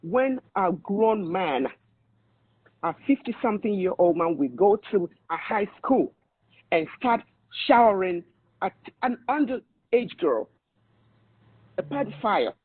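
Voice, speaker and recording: A man with a Nigerian accent holds forth on a podcast, laying down blunt advice in a lecturing tone. His voice runs high and has a husky grain. The sound is narrow and tinny, like a phone call. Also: animated, a little slow, pauses often, no echo. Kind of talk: opinionated podcast discussion. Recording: tinny phone-line audio.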